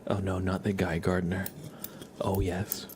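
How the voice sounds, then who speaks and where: Low, soft-spoken man doing ASMR. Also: flat delivery, a little slow, noisy background. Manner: soft-spoken, playful, slips between murmur and whisper. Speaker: ASMR livestreamer. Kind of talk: ASMR live chat with roleplay, partly whispered. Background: scissor sounds.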